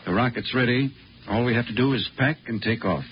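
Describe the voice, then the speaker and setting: A man's voice: medium pitch, a little fast, natural voice. An actor playing a reassuring, decisive family father in a 1950s radio drama. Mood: serious, decisive. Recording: muffled old radio broadcast, faint noise.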